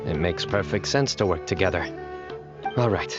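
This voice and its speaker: An actor playing a composed, earnest young investigator in a video game, speaking in a deadpan, formal, measured way. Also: male voice, deep voice. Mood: businesslike. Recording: muffled game audio with music.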